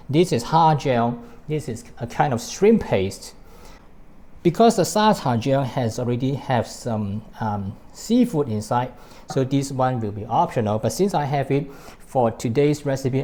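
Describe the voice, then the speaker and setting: A man's voice: mid-range voice, a little mellow. A man with a Malaysian accent hosting a cooking demonstration, explaining things step by step. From born in Hong Kong, grew up in Malaysia. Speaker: cooking show host. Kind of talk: recipe demonstration to camera.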